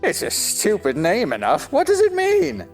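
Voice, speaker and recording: A woman's voice: a little high, huge pitch swings, moderate pace, darkish tone, soft background music. A woman playing a pompous, touchy, argumentative talking egg in a stage-play style audio drama, with haughty, exaggerated emphasis. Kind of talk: theatrical audio drama acting.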